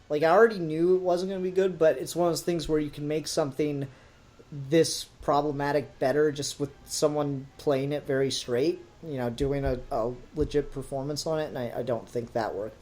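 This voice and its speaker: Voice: medium pitch, steady tone, brisk, a little mellow. A podcast host giving a casual, critical review in an exasperated and emphatic way.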